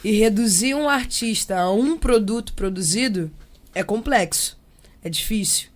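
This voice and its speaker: A young Brazilian woman speaking casually and reflectively as an interview guest, expressive and slangy, slipping into imagined quotes. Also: mid-range voice, unhurried. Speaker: interview guest, percussionist and samba singer.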